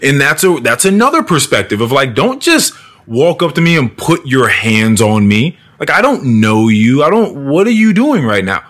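A man's voice: mid-range voice, very animated, moderate pace, a bit bright. Casual, profane man hosting a podcast. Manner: loose, profane, acts out quoted people. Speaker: podcast host.

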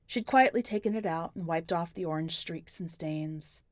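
A woman's voice: lowish voice, moderate pace, no room sound, clean studio sound. A woman reading a short story aloud as scripted, dramatic narration.

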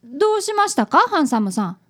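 Young schoolboy sleuth, very high voice, lively swoops, polite, earnest, radio drama. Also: female voice, fairly quick, heavily acted. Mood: flustered. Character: earnest polite schoolboy apprentice detective, about eleven. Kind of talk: radio drama acting and narration.

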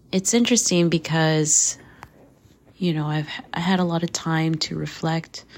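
A woman in her thirties, hoarse from a flu, hosting a faith podcast in an earnest, casual way, laughing easily and dropping to a soft near-whisper. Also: low-pitched. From Canada. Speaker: podcast host.

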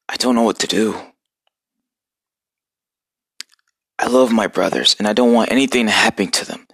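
A man voicing an earnest young male turtle ninja leader who talks low and hushed. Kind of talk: fan voice acting of a cartoon.

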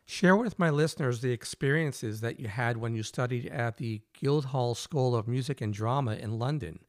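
A man's voice: mid-range voice, moderate pace, no echo, clean studio sound. A man hosting an interview, asking questions in an upbeat, encouraging way. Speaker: podcast host.

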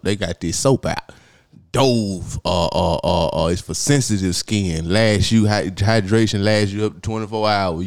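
A man's voice: sits low, gravelly, darkish tone. A man hosting casual comedic banter with friends, joking and swearing freely, repeating phrases for emphasis. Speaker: podcast host.

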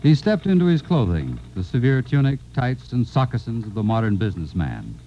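An actor as the wry, theatrical narrator of a 1950s science fiction radio drama. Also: male voice, medium pitch, big pitch swings, a little fast, a little hiss or hum, natural voice. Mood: dramatic, measured. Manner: emphatic, theatrical storytelling. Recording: dull vintage radio broadcast recording. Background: music.

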